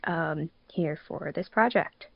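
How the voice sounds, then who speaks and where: A woman's voice: low voice, slow, gravelly, dry recording. A woman hosting a podcast in a casual, chatty way, often using "like" as a filler. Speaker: podcast host and fandub producer. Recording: dull, lo-fi voice recording.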